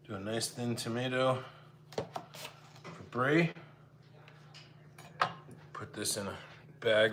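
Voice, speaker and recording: A man hosting a live cooking stream, chatting informally and thinking aloud as he talks to viewers. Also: mid-range voice. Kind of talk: casual chat with viewers while cooking. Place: home kitchen. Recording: clear background noise, clattering dishes and cutlery.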